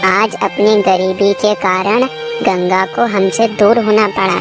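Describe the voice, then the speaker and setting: A woman's voice: medium pitch, steady tone, slow, loud music behind the voice, natural voice. A woman voicing a poor, kind, earnest village husband in a moral cartoon story. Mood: sad, resigned.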